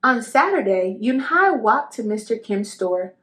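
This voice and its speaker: A woman narrates a children's picture book with lots of expression. Her voice sits fairly high and moves up and down in a very lively way, as scripted read-aloud narration for young listeners. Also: unhurried.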